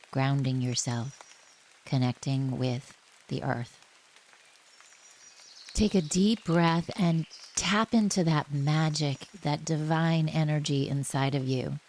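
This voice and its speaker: A woman guiding a relaxation meditation in a gentle, soothing manner, speaking in short phrases with long pauses. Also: low voice. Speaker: yoga nidra guide. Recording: steady rain noise behind the voice. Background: rain ambience.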